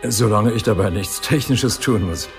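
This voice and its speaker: An actor playing an erudite philosophy professor in a radio drama, donnish, deliberate and wryly amused. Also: male voice, lowish voice, creaky, soft background music. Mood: fascinated. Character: erudite, fascinated male Cambridge philosophy professor.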